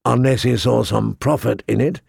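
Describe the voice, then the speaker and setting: A man's voice: mid-range voice, normal speed, vocal fry, darkish tone, husky, no echo, studio quality. A man voicing a resolute noblewoman, mother of a young lord, composed and assured, in a fantasy audiobook. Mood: smoothly reassuring.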